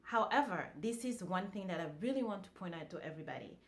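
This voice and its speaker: Relaxed woman. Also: medium pitch, quick pace. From France. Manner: relaxed, conversational, many fillers and restarts. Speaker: vlogger. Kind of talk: casual talk to camera.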